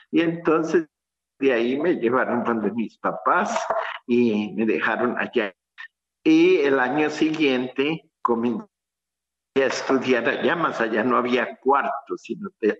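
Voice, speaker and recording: Slow, reflective priest. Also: male voice, medium pitch, a bit bright, dull audio. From Colombia. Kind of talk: radio interview guest telling his life story.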